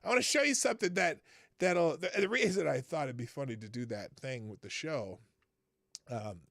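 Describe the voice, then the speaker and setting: A man hosting a live talk stream from a studio, chatting casually in a rambling, animated way that repeats phrases and drops the odd swear word. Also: a little high, normal speed, creaky, no room sound. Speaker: livestream talk show host. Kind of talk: casual live-show chat. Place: home studio. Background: faint music.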